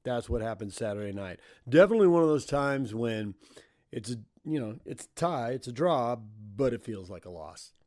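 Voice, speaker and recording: A man's voice: mid-range voice, animated, normal speed, darkish tone, dry recording, clean studio sound. An American man hosting sports radio, giving commentary on games and putting questions to a coach.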